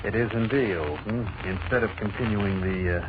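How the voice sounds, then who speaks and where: A man's voice: lowish voice, background noise, natural voice. Menacing radio villain, acted by a man, muffled vintage recording. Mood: cool menace. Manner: coolly polite, measured menace. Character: menacing, vengeful male villain, disgraced hate-newspaper publisher. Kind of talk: 1940s radio drama acting.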